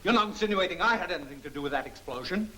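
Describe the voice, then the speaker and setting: An earnest, touchy businessman in a 1940s film serial. He talks fast in a sharp, fairly high voice, with hiss from the old soundtrack behind him. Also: animated, low-quality recording. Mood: defensive, troubled. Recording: hissy 1940 film soundtrack.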